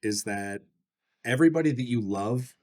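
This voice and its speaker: A man co-hosting a podcast in casual chat, excitable, cursing freely and laughing often as he rants. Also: mid-range voice, normal speed. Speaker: podcast co-host.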